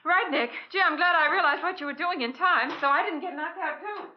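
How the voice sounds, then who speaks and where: An actress playing an eager, excitable young detective's assistant in an old radio drama. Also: female voice, very high voice, fairly quick. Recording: roomy, dull old radio recording.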